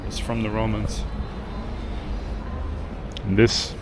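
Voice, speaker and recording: A man's voice: lowish voice, little pitch movement, takes it slowly, vocal fry. A man hosting a casual walking vlog on an outdoor city street, speaking bluntly and with emphatic indignation. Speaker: travel vlogger, host. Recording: street traffic noise behind.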